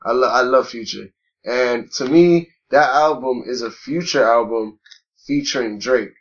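A man's voice: medium pitch, unhurried, muffled recording. A man co-hosting a podcast in casual chat, swearing often and restarting his sentences as he talks. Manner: loose, profane, many restarts and fillers. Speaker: podcast co-host.

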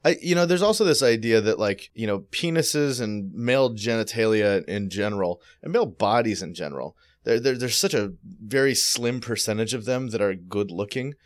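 Lowish voiced dude rambling on a podcast, blunt and crude, lots of restarts. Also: male voice, moderate pace, few pauses. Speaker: podcast co-host. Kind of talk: casual chat.

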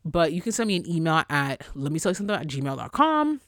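A young woman hosting a solo podcast in a casual, chatty way, blunt and profane, her voice jumping high when she gets excited. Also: fast talker, no room sound, studio quality. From New York area. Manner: profane, blunt asides to listeners. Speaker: podcast host.

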